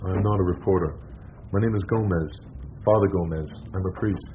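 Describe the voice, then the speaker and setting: An actor playing a polite, earnest and persistent priest in a radio drama, courteous but insistent. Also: male voice, low-pitched, a little fast, natural voice. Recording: noisy, phone-like radio recording.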